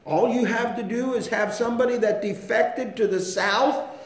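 A man preaching a sermon, swinging between hushed storytelling and loud, impassioned emphasis. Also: very high voice, normal speed. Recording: echoey room with faint noise.

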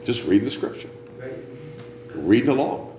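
A man preaches to a congregation with a lively, swooping delivery, slipping into self-mocking jokes and teasing asides between points. His voice rings through a roomy sanctuary over a faint hum of ambience. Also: medium pitch, normal speed. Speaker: pastor. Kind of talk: sermon with joking asides.

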